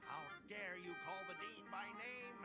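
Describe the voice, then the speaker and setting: High-voiced pompous senior student. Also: male voice, a little slow. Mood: outraged, fawning. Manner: haughty, theatrical, declamatory. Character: pompous, bossy young male senior student, fawning sidekick. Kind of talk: anime-style game voice acting. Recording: loud music behind the voice. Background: game music.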